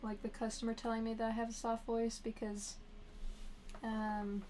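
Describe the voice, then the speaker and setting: A woman artist chatting with viewers on a livestream as she draws, in a soft, flat, monotone voice. She reads viewer comments aloud in a low-key way. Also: moderate pace, a little hiss or hum. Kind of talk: casual livestream chat while drawing.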